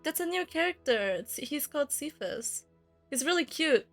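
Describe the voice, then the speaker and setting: A woman game streamer with a high voice chats informally as she plays. Her commentary is casual and offhand, with faint game music behind it. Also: lively intonation, normal speed, a bit bright. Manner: chatty, informal.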